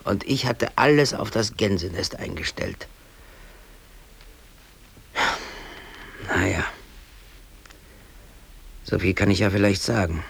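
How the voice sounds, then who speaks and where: Low, gravelly, aggrieved working man. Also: measured pace, heavily acted. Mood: rueful recounting. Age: 30s. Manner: colloquial, brooding, talking to himself. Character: aggrieved, defiant working man, hobby photographer, in police custody. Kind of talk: radio drama monologue.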